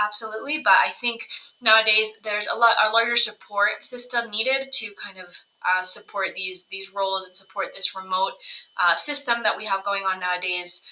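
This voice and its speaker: A woman's voice: mid-range voice, sharp tone, poor audio. A woman, a company co-founder, giving a casual business presentation over slides, conversational and often checking in with "right?". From United States. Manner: conversational, frequent "right?" tag questions.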